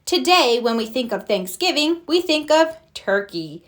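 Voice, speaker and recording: A bossy schoolgirl from a children's storybook, as a woman reader acts her out. She is sure of herself and speaks high, with big lively swings of pitch. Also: measured pace. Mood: self-important. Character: Francine, bossy, loud, self-assured schoolgirl.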